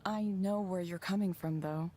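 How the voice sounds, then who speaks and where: An actress playing a calm, understanding, world-weary young woman in tech, speaking in a measured, understated way in a visual novel. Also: on the low side, a little hiss or hum, close to an everyday voice. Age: young adult. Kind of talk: naturalistic visual novel dialogue.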